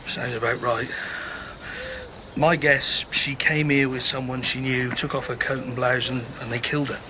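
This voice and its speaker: A man's voice: mid-range voice, level tone, moderate pace, close to an everyday voice. Considerate local policeman played by a man, noisy background. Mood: matter-of-fact. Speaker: actor in a radio drama. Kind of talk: naturalistic radio drama acting. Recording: radio drama with clear background ambience.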